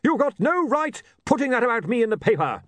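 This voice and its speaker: A man's voice: high voice, big pitch swings, quick pace, no room sound, voice pushed up. A man voicing a thick-shouldered barbarian with wounded pride, blustering and indignant in simple, ungrammatical speech. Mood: furious.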